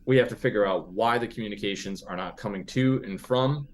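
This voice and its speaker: A man playing a tabletop role-playing game, thinking aloud in casual, halting talk as he plans in character. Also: fairly low pitch, crowd noise.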